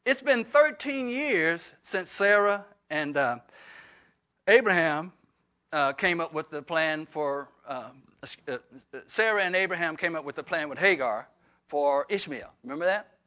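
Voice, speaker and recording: A man's voice: a little high, animated, a little slow, pushed and bright. An older man, preaching a folksy Bible-study sermon full of fillers and restarts. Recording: dull lo-fi recording.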